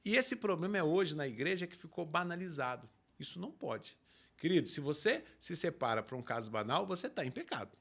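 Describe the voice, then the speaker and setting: A pastor speaking with emphasis, his voice pitched high for a man. His pitch rises and falls widely as he explains scripture to the show's host comes through clearly. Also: big pitch swings, a little fast, fairly bright tone. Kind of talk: preaching in a talk show.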